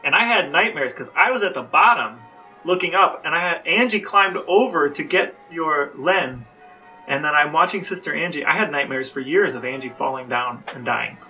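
A man's voice: on the high side, animated, moderate pace, bright, forceful tone, far from the mic, faint music behind, rough recording. A man co-hosting a casual podcast, explaining things and asking questions. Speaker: podcast co-host, interviewer.

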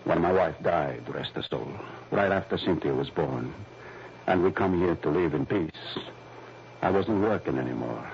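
An actor playing a gruff, agitated middle-aged father in an old-time radio drama, blunt, impatient and defensive. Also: deep voice, lively intonation, normal speed. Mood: subdued, defensive. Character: agitated, gruff retired father of a kidnapped girl. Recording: noisy, muffled vintage radio recording.

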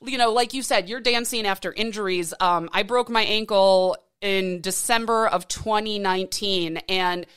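A woman's voice: medium pitch, moderate pace, hardly any pauses, a bit bright, smooth. A woman in her late thirties hosting a podcast, talking in an earnest, encouraging way with frequent restarts. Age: 30s. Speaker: podcast host. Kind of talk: casual interview chat.

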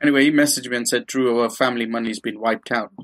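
A man's voice: mid-range voice, steady tone, quick pace, fairly bright tone. Hesitant man from Kenya telling his story. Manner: hesitant, many fillers and restarts, laughs easily. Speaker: dentist and guest. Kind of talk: casual interview storytelling.

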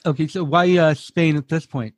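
A man's voice: a little high, normal speed, dry recording, clean studio sound. A man hosting a podcast, reading introductions and asking friendly, upbeat questions. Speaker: podcast host. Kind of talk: interview hosting, scripted reads.

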